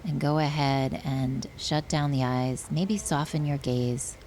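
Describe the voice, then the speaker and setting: A woman leading a guided yoga nidra meditation, speaking gently and soothingly with long pauses. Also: sits low, steady tone, a little hiss or hum, rough recording.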